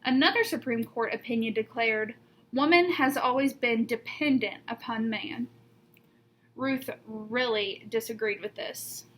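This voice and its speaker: A woman reading a children's picture book aloud like a teacher, with deliberate emphasis on key words. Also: on the high side, low-quality recording. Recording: noticeable background noise.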